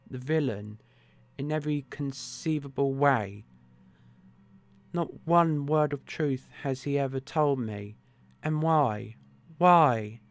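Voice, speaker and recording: A man's voice: mid-range voice, voice pushed up. A man reading aloud, voicing a desperate, betrayed Victorian woman with raised, pleading outbursts.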